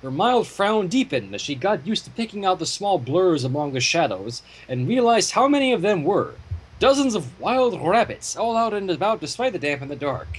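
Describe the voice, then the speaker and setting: A man reading fan fiction aloud in an expressive way, with casual asides. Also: high voice, normal speed, low-quality recording. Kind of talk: story narration with casual commentary. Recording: home microphone with clear noise.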